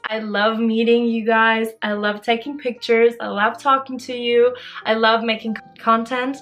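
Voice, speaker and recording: A young woman with a high, bright voice talks in a bubbly, expressive way. She sounds like a creator chatting casually to her followers in a Q&A vlog, with music playing behind her. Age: early 20s. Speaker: social media creator answering follower questions.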